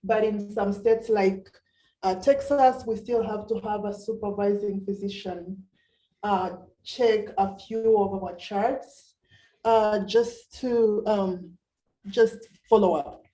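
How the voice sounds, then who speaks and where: A woman, a nurse practitioner giving an informal talk at a meeting, pausing often with fillers. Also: mid-range voice, steady tone, warm tone, echoey room, far from the mic, rough recording. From Uganda. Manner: halting, many fillers.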